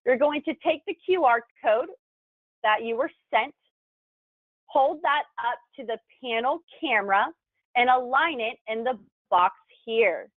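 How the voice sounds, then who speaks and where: A woman's voice: a little high, a little slow, no echo. A woman narrating an instructional video, explaining things step by step as a tutorial presenter. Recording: thin phone-like band-limited sound, dry.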